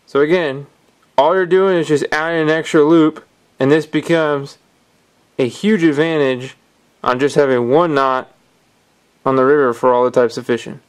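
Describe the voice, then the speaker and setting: A man calmly explaining step by step how to tie fishing knots, talking while his hands work at a table indoors. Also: a little high, moderate pace, long pauses, smooth. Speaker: fishing instructor. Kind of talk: hands-on knot-tying tutorial.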